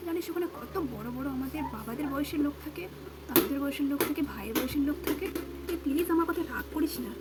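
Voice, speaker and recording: A woman's voice: high-pitched, very noisy, natural voice. A woman voicing a modest, caring young woman doll who chats and admonishes in a children's puppet play, with music over her.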